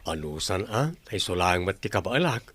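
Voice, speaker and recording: A man playing a gentle, struggling father in a radio drama, hesitant and placating. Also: low voice, sing-song, normal speed, husky, exaggerated voice. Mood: resigned, pleading. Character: struggling, gentle, resigned father of a young child. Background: music.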